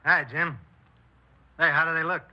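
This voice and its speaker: An actor playing a vain, breezy small-time burglar in a 1950s radio crime drama, with slangy, sly, casual talk. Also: male voice, fairly high pitch, flat delivery, normal speed, lightly acted. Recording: muffled 1950s radio broadcast, faint noise.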